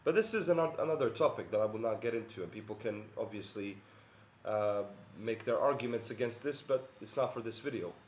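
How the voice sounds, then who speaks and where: A man from Bosnia, his tongue, giving an earnest, measured monologue with many pauses and fillers. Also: fairly low pitch, echoey room, slight background noise. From Bosnia and Herzegovina. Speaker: video commentator. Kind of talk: monologue to camera.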